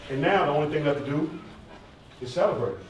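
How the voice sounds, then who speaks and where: A man's voice: on the high side, brisk, recorded from a distance, dull audio, over a noisy crowd. A man narrating his own story casually to a live audience from a stage, heard over a PA. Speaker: narrator of an autobiographical stage play. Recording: echoey stage PA recorded from afar, faint noise.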